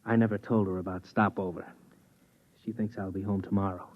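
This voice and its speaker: An actor playing an anxious, pleading crewman on a moon expedition, speaking haltingly in a 1950s radio drama. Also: low-pitched, little pitch movement. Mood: sheepish, hesitant. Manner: halting, hesitant. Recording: thin, phone-like 1950s radio recording.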